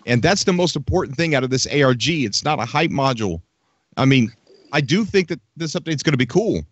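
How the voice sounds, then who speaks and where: This is an upbeat man hosting a casual call-in show. His voice sits at a middle pitch and is recorded dry and close. He warmly agrees with and encourages his callers. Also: animated, fairly quick, dry recording, lo-fi sound. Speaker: show host.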